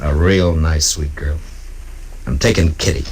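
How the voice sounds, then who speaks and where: An actor playing a gruff, laconic American frontier marshal in a 1950s western radio drama. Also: male voice, very deep voice, steady tone, unhurried, darkish tone, a little hiss or hum. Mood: dry, impatient.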